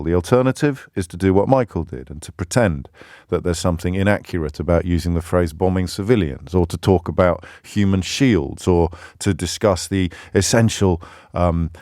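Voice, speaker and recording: A man hosting a talk radio phone-in, arguing in measured, forceful sentences with deliberate pauses. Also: low-pitched, dry recording, studio quality. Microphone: studio microphone.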